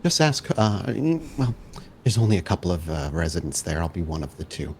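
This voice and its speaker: A man's voice: deep voice, expressive, brisk, slight background noise. A man voicing a courteous female messenger with hesitant, formal phrasing in a tabletop roleplaying game. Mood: courteous, businesslike. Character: polite, courteous female messenger with long red nails.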